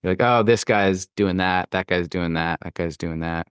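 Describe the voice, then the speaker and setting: A man's voice: sits low, moderate pace, fairly bright tone, clear, resonant tone, no room sound, studio quality. A man interviewing a guest in a reflective, conversational style, with thoughtful and articulate phrasing. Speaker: podcast interviewer.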